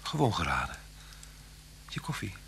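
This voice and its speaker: A low-voiced, courteous man, the calm suspected killer of a radio drama. His delivery is crisp-edged and moves in pitch, on a faintly humming old recording. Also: moderate pace, a little hiss or hum, exaggerated voice. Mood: calm, attentive. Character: outwardly calm, solicitous man suspected of murder. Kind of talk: Dutch radio play acting.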